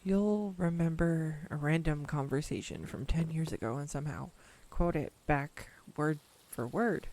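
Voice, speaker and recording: A woman's voice: sits low, a little mellow. A young woman acting a tender, nervous childhood friend come home, in an intimate, hesitant audio roleplay monologue. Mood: fond, nostalgic. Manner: hesitant, reflective, confiding, with pauses. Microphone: close USB desktop microphone.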